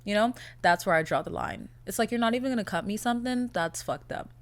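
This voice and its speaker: A young woman hosting her own podcast, chatting casually in a blunt, profane, animated way, her voice a little raspy from a cold. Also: mid-range voice, fast talker. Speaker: podcast host. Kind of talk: casual solo podcast chat.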